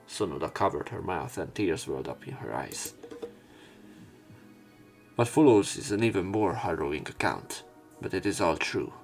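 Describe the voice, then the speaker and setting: A man's voice: low voice, gravelly. A man reading a visual novel script aloud as let's play narration, acting out the story. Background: faint game music.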